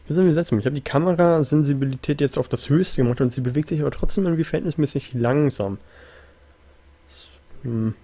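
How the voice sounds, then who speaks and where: A man's voice: medium pitch, dark tone. A man giving casual, musing let's play commentary on a video game in a laid-back way. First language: German.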